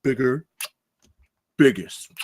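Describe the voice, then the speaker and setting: A man's voice: medium pitch, lively intonation, slow pace, a little mellow. Guy as a cocky campus guard bro, casual, voice moves around a lot. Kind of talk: live screenplay table read.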